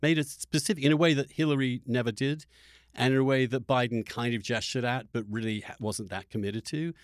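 A man's voice: medium pitch, fairly even intonation, fairly quick, no echo, studio quality. A man hosting a political discussion podcast, speaking emphatically and rhetorically, repeating phrases for stress. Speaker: podcast host.

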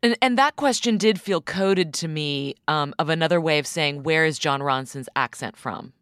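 Podcast host: a woman with a low, lively voice, wry and teasing, recorded dry and close. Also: moderate pace, clear, resonant tone, dry recording. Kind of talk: casual podcast interview.

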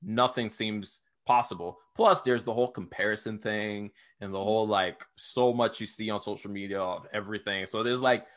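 A young man hosting a podcast interview, talking in a casual, slangy, animated way and laughing often. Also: lowish voice, normal speed, few pauses. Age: young adult. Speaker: podcast host.

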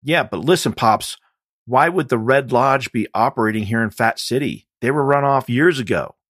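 A jittery, suspicious middle-aged man, acted by a male narrator rambles in coarse, casual audiobook dialogue. His pitch rides above the reader's low register, and the sound is dry and close. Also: moderate pace, fairly bright tone, no room sound, natural voice. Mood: insistent, argumentative.

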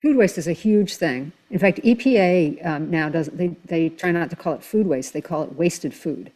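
An adult speaker giving a conversational, explanatory account as an expert guest, in a measured way with everyday examples. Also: mid-range voice, a little mellow. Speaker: expert guest on environmental talk show.

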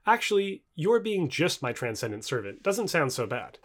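Man acting as a modern woman, wry, questioning, sarcastic, lively pitch, storybook dialogue. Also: moderate pace. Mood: skeptical. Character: skeptical, wry present-day woman questioning the future.

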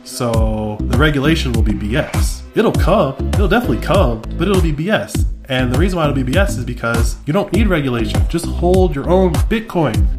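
A man's voice: medium pitch, expressive, a little fast, hardly any pauses, over loud music. A man co-hosting a casual chat podcast, talking bluntly and with firm opinions. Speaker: podcast co-host.

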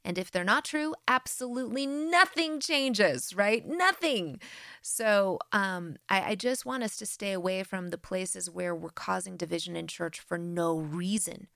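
American woman doing a solo church podcast, earnest, bit of vocal fry, dry close sound. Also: mid-range voice, unhurried, no room sound. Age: adult with grown-past-baby children. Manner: earnest, direct, lively emphasis. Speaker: podcast host. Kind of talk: solo faith podcast talk.

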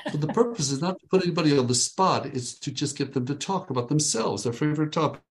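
A man leading a group workshop over a video call from his home, upbeat and joking, slowing down to dictate phrases for people to write down. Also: on the high side, animated, a little hiss or hum. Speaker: workshop leader.

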